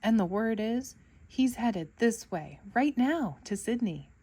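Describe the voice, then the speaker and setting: A woman voicing an excited male pelican bearing news in a children's storybook, speaking emphatically. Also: fairly high pitch, slow, slightly rough, full character voice.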